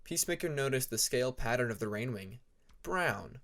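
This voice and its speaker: A man narrating scripted fiction as an audiobook reader, deliberate and with dramatic pauses. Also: mid-range voice, expressive, creaky, fairly bright tone.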